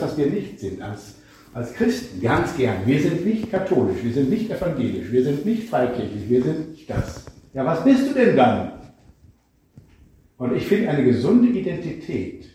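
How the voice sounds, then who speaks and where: A man delivers a reflective sermon with a warm, dark tone, often turning to his listeners with questions. He stands some way from the microphone, so his voice carries the heavy echo of a large hall. A faint hiss sits under the recording. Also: medium pitch. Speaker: preacher. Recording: echoey room, speaker far from mic, faint noise.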